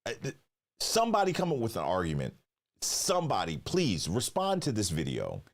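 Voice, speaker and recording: A man's voice: on the low side, huge pitch swings, normal speed. A man hosting a live stream, reacting with slangy, profane banter and reading tips aloud. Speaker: livestream host. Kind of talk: casual live-stream commentary.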